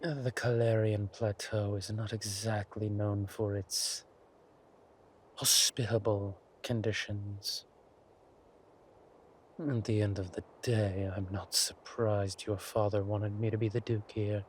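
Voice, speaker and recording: An actor playing a smug, menacing villain king in an intimate audio roleplay, speaking deliberately and theatrically to a beloved consort. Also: fairly low pitch, level tone, slight background noise. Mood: smug, amused. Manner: slow, deliberate, theatrical, breathy asides.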